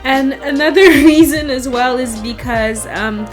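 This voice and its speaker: A woman's voice: high-pitched, a little slow, music in the background, low-quality recording. A young woman from Africa with a mixed British and Nigerian accent, chatting frankly and laughing often over a video call while doing her makeup. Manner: lively, frank, laughs often, speaks with emphasis. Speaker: guest beauty creator.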